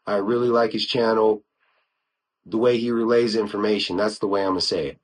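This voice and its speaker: A man's voice: fairly low pitch, steady tone, fairly quick. An American man from Wyoming hosting a solo podcast, talking informally with slang and frequent conversational asides. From United States (Wyoming). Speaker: podcast host. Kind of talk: casual solo podcast talk, reviewing YouTube channels.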